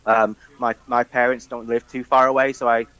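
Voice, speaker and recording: A man from northwest England, a musician guest on a phone-in radio interview, talking hesitantly and softly with many ums. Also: mid-range voice, little pitch movement, normal speed, dry recording, lo-fi sound.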